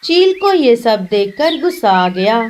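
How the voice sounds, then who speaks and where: A woman narrates a children's cartoon tale from a script. Her voice is somewhat high, with faint noise behind it. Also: very animated, measured pace, darkish tone.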